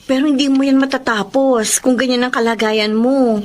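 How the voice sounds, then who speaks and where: An actress playing a caring, outspoken young office employee in an audio drama, earnest and expressive. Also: female voice, high voice, moderate pace, slight background noise, natural voice. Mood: concerned. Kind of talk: radio drama acting.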